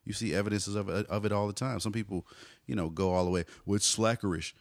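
A man in his forties, a hip hop artist telling stories in a relaxed interview, dropping "you know" often. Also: on the low side, fast, no room sound, studio quality. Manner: laid-back storyteller, frequent "you know". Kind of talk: casual conversational interview.

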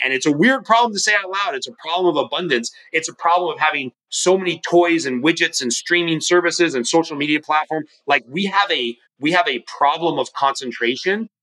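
Fast-talking energetic man, bright voice. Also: a little high, poor audio. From California. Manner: fast, energetic, rambling with restarts. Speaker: podcast guest, mortgage professional and coach. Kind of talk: casual interview talk.

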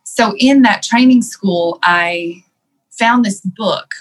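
A woman's voice: mid-range voice, slow, low-quality recording. A woman guest in an interview, telling her life story in a candid, reflective way with many "um"s and "you know"s. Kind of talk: candid personal storytelling in conversation.

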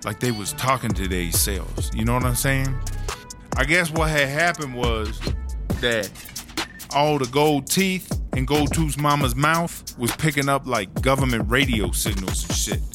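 A man's voice: medium pitch, expressive, a little slow, lightly acted. Man playing a streetwise, chatty woman prisoner. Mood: animated, gossipy. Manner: slangy, sweary, conversational. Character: Chartreuse, streetwise chatty long-serving woman prisoner, candid storyteller. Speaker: narrator of a prison audio drama. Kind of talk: casual first-person storytelling in a comedy audio drama. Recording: music under the voice.

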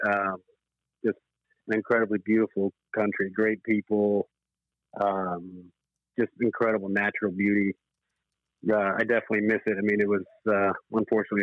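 A man's voice: on the low side, narrow, tinny audio. A man in his forties from Zimbabwe and California talks as an interview guest, earnest and reflective, in stop-start phrases over a remote call. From Zimbabwe, then California from age eight. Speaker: interview guest, horse racing professional. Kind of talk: relaxed interview conversation. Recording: boxy, echoey, band-limited remote call.

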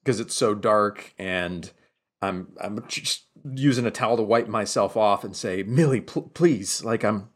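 A man playing a tabletop role-playing game, talking casually as his character in a halting way, repeating words as he thinks aloud. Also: on the low side.

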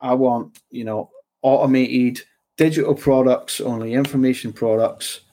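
A man's voice: medium pitch, steady tone, normal speed, dark tone. A man with a Scottish accent who talks loosely in a casual conversation as the host, often asking "do you know what I mean".

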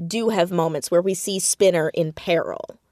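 A woman with a slightly low voice talks casually as a creative guest in an interview. She tells things in an animated, self-deprecating way and leans on key words. The sound is dry, with very little room in it. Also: normal speed, dry recording. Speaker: podcast guest, audio drama writer and creator. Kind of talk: casual interview chat about own writing.

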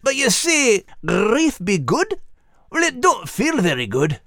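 A folksy male Scottish dog for a children's audio drama. His speech swings widely in pitch, earnest and plain-spoken, with a warm heart. The recording is close and dry. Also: huge pitch swings, takes it slowly, no room sound, exaggerated voice. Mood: earnest, wistful.

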